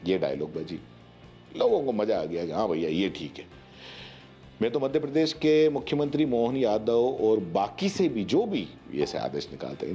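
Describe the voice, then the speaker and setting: A man's voice: on the low side, warm tone. A man in his forties from India giving opinionated news commentary in an emphatic manner, often posing rhetorical questions. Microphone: lapel mic.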